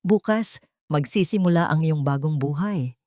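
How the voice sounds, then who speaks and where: A cold husband in an audio drama, voiced by a woman, stern and commanding. The voice is low for a woman and carries a note of warning, recorded very dry. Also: moderate pace, dark tone, no room sound, natural voice.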